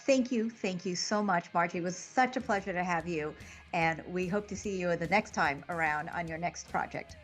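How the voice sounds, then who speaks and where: A woman's voice: fairly low pitch, moderate pace, soft background music. A woman guest-hosting an interview show, effusive and full of praise for her guests. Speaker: guest host.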